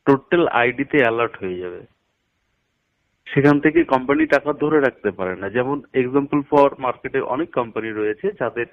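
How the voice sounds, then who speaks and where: A man explaining a business plan step by step over a phone call, like a promoter instructing a prospect and stressing the figures. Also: medium pitch. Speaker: network marketing promoter. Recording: dull, lo-fi phone call audio.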